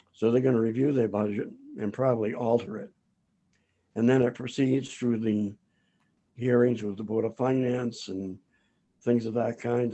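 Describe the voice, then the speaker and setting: A hoarse man chairing a town board meeting, speaking haltingly with many pauses and restarts. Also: lowish voice, warm tone.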